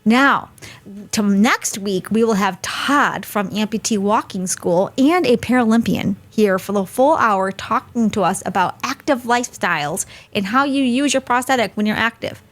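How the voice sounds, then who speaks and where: A woman's voice: medium pitch, moderate pace. A woman hosting a live webinar over a video call, chatty and friendly, laughing easily. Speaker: host.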